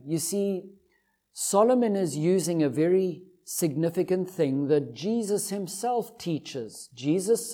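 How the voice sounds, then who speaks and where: A man's voice: high-pitched, mellow. A man with a Zimbabwean accent preaching an expository sermon in a didactic way, driving points home with emphatic repetition.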